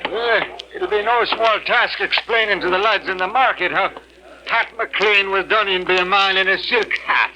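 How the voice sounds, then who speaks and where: A rough cart driver. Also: male voice, sits high, moderate pace, sharp tone, low-quality recording. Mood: taunting. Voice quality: rough, bullying. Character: brawling Irish cart driver, rough and cocky. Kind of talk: 1940s radio acting.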